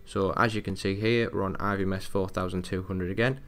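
A man with a low, creaky voice presents a technical how-to. He talks informally and matter-of-factly, guiding the listener step by step as a support technician would. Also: fairly even intonation, a little fast, a bit bright. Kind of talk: casual step-by-step software tutorial narration.

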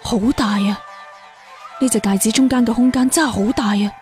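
A woman's voice: a little high, moderate pace, a little mellow, lightly acted. A woman voicing an earnest, determined young cultivator in a fantasy audiobook. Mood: delighted. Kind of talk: audiobook character dialogue. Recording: music behind the voice.

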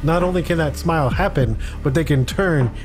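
A man's voice: a little high, a little fast, soft background music. A man talking casually as a podcast panelist in a discussion of tabletop games.

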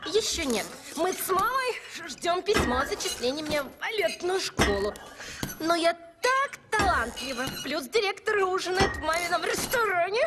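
A woman voicing a haughty, spoiled rich girl in an animated film dub, sneering and boastful. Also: very high voice, normal speed, loud music behind the voice.

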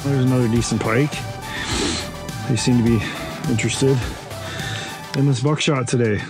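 A young man chatting excitedly to viewers while ice fishing, recorded inside a small tent on a body-worn camera. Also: mid-range voice, normal speed, music in the background. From Canada. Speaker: fishing vlogger. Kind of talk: casual first-person narration while fishing. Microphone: action camera worn on the body.

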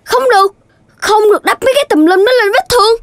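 A woman's voice: very high-pitched, quick pace, no room sound, studio quality. A woman voicing a young, sensible cartoon puppy in a children's show, earnest and instructive, with a Southern Vietnamese accent. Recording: music behind the voice.

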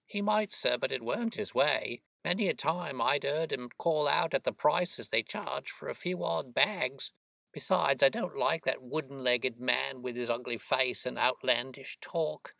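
A woman's voice: a bass voice, unhurried, gravelly, fairly bright tone, dry recording, pitched above the performer's own voice, full character voice. A man voicing a worried, talkative working-class London boatman's wife, chatty and deferential, in an audiobook story. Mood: anxious.

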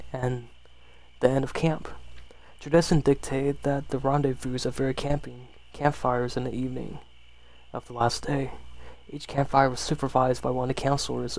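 A man's voice: mid-range voice, little pitch movement, normal speed, vocal fry, darkish tone, rough recording. A man reading a horror story aloud as an ASMR storyteller, sometimes stumbling and rereading phrases.

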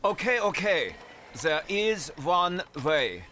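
A matter-of-fact cartoon doctor, with a high, piercing voice. His delivery is lively in pitch over faint music. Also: slow, exaggerated voice.

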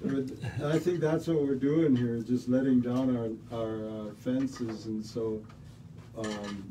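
A middle-aged man talking casually in a small radio studio, relaxed and rambling with many fillers. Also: medium pitch, takes it slowly, noisy background. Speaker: studio guest musician. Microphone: studio mic on stand, headphones on. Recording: slightly distant mic.

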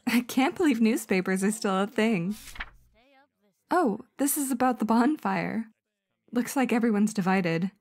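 A woman playing a cheerful, curious high school girl in an audio drama. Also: animated, unhurried, darkish tone. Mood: casual. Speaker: voice actor.

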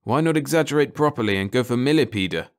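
A man voicing a gruff, grumbling aquatic sergeant with a dismissive manner in an audiobook. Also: medium pitch, lively intonation, quick pace, lightly acted. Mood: irritated. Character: grumpy, scornful aquatic sergeant with a trunk. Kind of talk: audiobook character voice.

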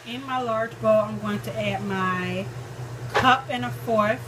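A woman's voice: medium pitch, takes it slowly, noisy background. A woman in her home kitchen, walking through a recipe step by step, thinking aloud and correcting herself as she cooks. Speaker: cooking vlogger. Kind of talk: casual recipe walkthrough. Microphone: camera on the counter.

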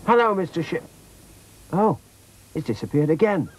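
A man's voice: on the high side, very animated, normal speed, pitched above the performer's own voice, rough recording. A man voicing eager, curious young children in a storybook tale, polite and inquisitive. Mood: curious, puzzled. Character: eager, curious little children, boy and girl.

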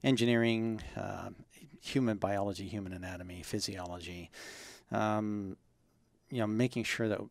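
Older guy from ontario, low gravelly voice, slow careful answers full of ums. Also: fairly even intonation, no room sound. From Ontario, Canada. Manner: measured, hesitant, many ums and uhs. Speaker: guest, ergonomist. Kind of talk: interview answers.

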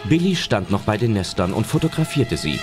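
A man's voice: on the low side, big pitch swings, fairly quick, over music. A man narrating a scripted German audio drama as its storyteller.

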